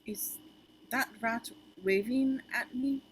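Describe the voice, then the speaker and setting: A woman's voice: on the high side, slow, slightly rough, poor audio. A woman playing a stern, proud leader of freedom fighters in a script read-through. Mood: baffled.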